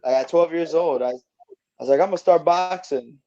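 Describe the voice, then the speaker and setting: Easygoing young man, muffled mellow voice. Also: a little high, brisk. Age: 20s. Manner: easygoing, rambling, with many fillers and restarts. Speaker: guest boxer. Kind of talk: casual interview chat.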